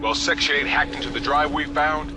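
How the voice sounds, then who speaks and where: A man's voice: a little high, fairly even intonation, brisk, noisy background, close to an everyday voice. An actor playing a tough young male mercenary soldier in a game, swearing and giving radio calls. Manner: tough, profane. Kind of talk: video game dialogue. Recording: game audio with loud music.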